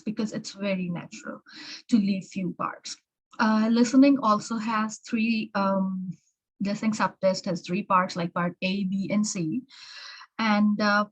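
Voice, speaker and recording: A woman's voice: medium pitch, poor audio. A woman with an American-influenced accent, an exam prep instructor giving informal tips in a chatty way, often repeating words and restarting. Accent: learned American English.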